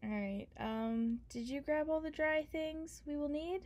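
A woman's voice: high-pitched, unhurried, a little mellow. A young woman doing an ASMR roleplay as a partner, playful, affectionate and teasing. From Canada. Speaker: ASMR performer.

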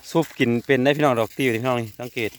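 A man's voice: mid-range voice, fairly even intonation, very fast, slight background noise. A man with an Isan Thai accent chatting casually to viewers in a vlog, outdoors among rural trees. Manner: chatty, keeps calling out to viewers. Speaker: vlogger.

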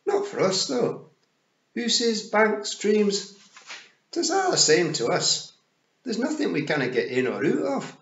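A man voicing a bold, cheerful little pixie clan leader, loud and emphatic, with a Scottish accent, in a storybook reading. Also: high voice, big pitch swings, slow pace, reverberant, lo-fi sound, pitched above the performer's own voice, exaggerated voice. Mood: cheerful, assertive. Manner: emphatic, rough-and-ready.

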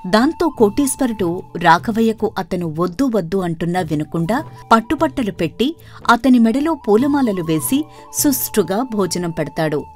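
A woman narrator reads a scripted storybook tale. Her voice sits at a middle pitch. Background music plays beneath her narration. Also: animated, moderate pace. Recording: music under the voice.

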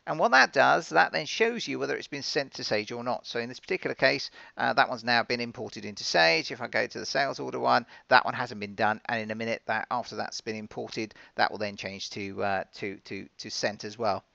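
Male software presenter, very creaky yet bright voice, informal and explanatory, dull lo-fi recording. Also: medium pitch, a little fast, few pauses, no echo. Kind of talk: conversational software demo narration.

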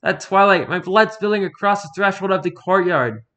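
A man performs a tormented doctor from a horror tale, trapped in endless agony. The voice climbs well above normal talk, loud, intense and pleading. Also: high voice, moderate pace, voice pushed up. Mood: anguished, rising. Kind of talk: dramatic reading of horror fiction.

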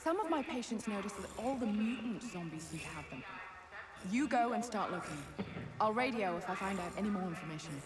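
An actress voicing a concerned, practical hospital doctor in a video game, earnest and businesslike. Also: female voice, medium pitch, expressive, brisk, natural voice. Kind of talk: video game cutscene acting.

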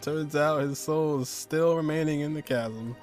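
A man's voice: a little high, a little slow, darkish tone. Young man streaming, casual game reactions. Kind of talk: casual live reaction commentary. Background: game music.

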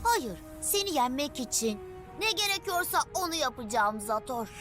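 Cocky eager cartoon kid hero, super high voice, loud music under it. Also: female voice, sing-song, moderate pace. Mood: defiant. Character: impulsive, confident young cartoon guardian hero. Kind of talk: dubbed cartoon acting.

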